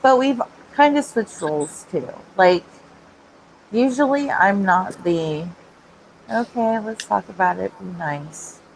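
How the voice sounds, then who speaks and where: Slow-talking gravelly woman in her thirties. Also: medium pitch, expressive, slight background noise, poor audio. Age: 30s. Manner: chatty, laughs often. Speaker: panelist on a live stream. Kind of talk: casual group chat.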